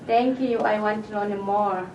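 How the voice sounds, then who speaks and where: A woman's voice: mid-range voice, fairly even intonation, unhurried, darkish tone, recorded from a distance, highs cut off, a little hiss or hum. A woman from Tanzania, speaking simple, earnest English. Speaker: award recipient. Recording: echoey chamber audio from afar.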